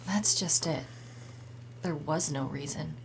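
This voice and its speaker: A woman voicing a guarded, frank young woman questioned in a video game mystery, speaking candidly in a low-key way. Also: slow, vocal fry, slight background noise, heavily acted. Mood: nostalgic. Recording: game audio with music underneath.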